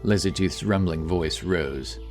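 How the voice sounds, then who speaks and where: A man narrating a scripted fantasy audiobook with a measured, dramatic storytelling manner. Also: low voice, darkish tone. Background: music.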